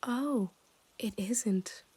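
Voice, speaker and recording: A woman playing a smug, possessive yandere kidnapper in an ASMR roleplay, teasing the listener and drifting into breathy whispers. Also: fairly high pitch, very slow, mellow, full character voice. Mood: stern, indulgent.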